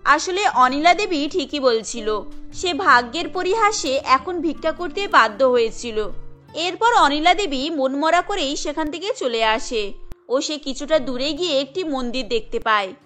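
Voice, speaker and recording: A woman's voice: high voice, lively intonation, unhurried, sharp tone, faint music behind. A woman reading scripted narration for a cartoon story.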